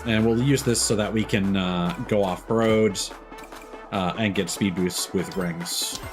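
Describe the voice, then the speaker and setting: A man chatting casually and haltingly as he commentates on his own video game stream, thinking aloud. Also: lowish voice, fairly even intonation. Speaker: live streamer. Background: video game music.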